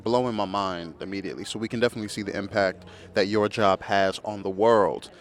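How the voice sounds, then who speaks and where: A man's voice: on the low side, steady tone, a little fast. A man interviewing a guest, asking casual questions in a friendly, affirming way with many okays.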